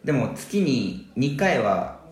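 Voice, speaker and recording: Man acting awkward young male part-timer, mid pitch, halting rambling talk, radio skit, roomy echo. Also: very animated, darkish tone. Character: young male part-time worker, awkward film fan. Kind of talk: amateur radio drama skit. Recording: roomy, echoey room sound.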